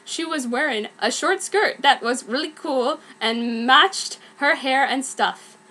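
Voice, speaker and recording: A young woman with a high-pitched voice performs an amateur dramatic reading. She goes slowly and deliberately, delivering clumsy fan writing exactly as written. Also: sharp tone. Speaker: amateur fanfiction reader.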